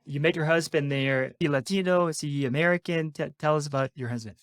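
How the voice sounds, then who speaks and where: A man's voice: fairly high pitch, fast, no echo, studio quality. A man from Colombia hosting a finance podcast, upbeat and encouraging, often repeating his phrases. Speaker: podcast host. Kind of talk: podcast interviewing.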